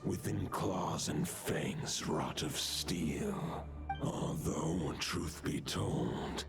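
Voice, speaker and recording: Grave old wolf spirit, game character, slow gravelly delivery, loud music behind. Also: male voice, extremely low pitch, exaggerated voice. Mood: solemn. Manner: slow, archaic, solemn speech. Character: ancient male wolf spirit, grave and solemn. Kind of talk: scripted video game dialogue.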